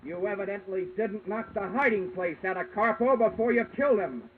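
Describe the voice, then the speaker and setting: Man playing a wry, higher-voiced radio detective. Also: fairly quick, slight background noise, close to an everyday voice. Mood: defiant. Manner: wisecracking, dry. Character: wry, hard-boiled male insurance investigator. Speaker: lead actor and narrator. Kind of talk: old-time radio detective narration. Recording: dull vintage radio recording with music.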